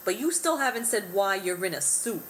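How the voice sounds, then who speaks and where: Woman acting brusque, wisecracking prison matron, lively pitch. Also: normal speed, a little hiss or hum, natural voice, rough recording. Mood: grudging, wry. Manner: gruff, streetwise, colloquial. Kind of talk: radio play acting.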